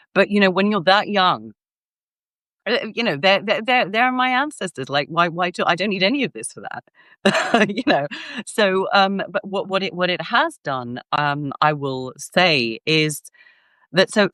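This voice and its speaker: A woman from Greece, a guest scholar, talking in a casual interview in an animated, emphatic way, often restarting her sentences. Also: low voice, no room sound.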